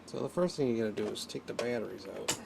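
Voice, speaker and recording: A man giving a casual, unscripted hands-on tutorial, talking haltingly as he thinks aloud while working. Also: lowish voice, animated, vocal fry, very noisy. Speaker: hobbyist presenter of a radio repair video.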